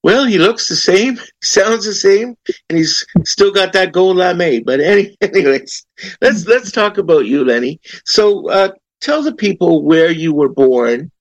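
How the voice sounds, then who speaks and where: A man hosting an interview, asking questions in a chatty, animated way. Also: sits high, a little slow, dry recording, highs cut off. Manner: chatty, animated, laughing asides. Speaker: interview host.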